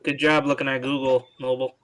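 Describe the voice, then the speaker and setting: A man's voice: medium pitch, little pitch movement, brisk. A man co-hosting a podcast, chatting casually about wrestling in a blunt, teasing way. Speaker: podcast co-host. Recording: noisy home mic with clicks.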